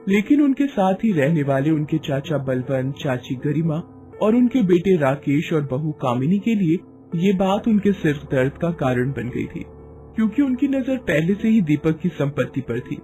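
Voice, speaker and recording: A man's voice: fairly high pitch, sing-song, normal speed, warm tone, soft background music. A man narrating a scripted moral story in a dramatic storytelling manner.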